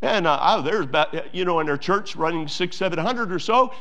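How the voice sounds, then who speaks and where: Fairly high-voiced man preaching emphatically. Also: lively intonation, fairly quick, a bit bright. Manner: emphatic preaching with repetitions and fillers. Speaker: pastor. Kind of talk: sermon.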